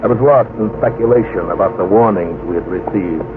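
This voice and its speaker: An actor playing a solemn expedition surveyor who recounts his ordeal deliberately, in old radio drama style. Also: low voice, noisy background, close to an everyday voice. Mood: grave, foreboding. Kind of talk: 1940s-50s radio drama narration. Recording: muffled old radio recording, music underneath.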